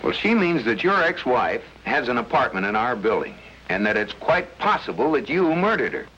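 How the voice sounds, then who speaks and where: A man playing a bold, suspicious husband turned amateur sleuth, brisk and challenging, in an old radio mystery. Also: medium pitch, very animated, fairly bright tone, muffled recording. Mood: accusing. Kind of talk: 1940s-50s radio mystery acting. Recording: noisy old radio recording.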